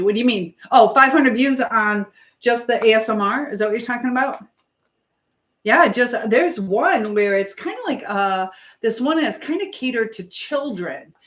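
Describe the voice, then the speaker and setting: A woman hosting a live stream in a casual, rambling chat, drifting between topics as she keeps busy with chores. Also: on the high side.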